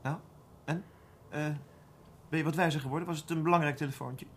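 A man playing an eager younger police detective in a radio drama, animated and questioning, quick to sound astonished. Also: on the high side, close to an everyday voice. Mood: curious. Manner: animated, questioning, repeats words when puzzled. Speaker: actor in a detective radio play. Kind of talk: naturalistic radio drama dialogue. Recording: audible background noise.

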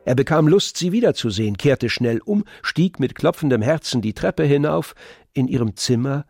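A man's voice: mid-range voice, expressive, moderate pace, creaky, darkish tone. A man narrating a literary German radio drama as a scripted storyteller. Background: music.

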